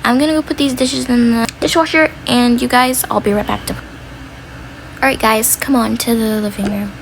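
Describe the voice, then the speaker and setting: A high-voiced, creaky mom, played by a woman in a homemade role play. She gives orders in a firm, matter-of-fact but caring way. The home recording is noisy. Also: steady tone, normal speed. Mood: brisk, instructing. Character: brisk, firm mom herding kids on beach day.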